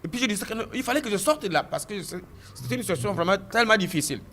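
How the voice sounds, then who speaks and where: A man recounts his own story for an interviewer with an animated delivery. His voice sits high and moves widely in pitch. He often stammers through restarts and repeats phrases for emphasis. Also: very fast, a little hiss or hum. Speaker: guest giving a testimony.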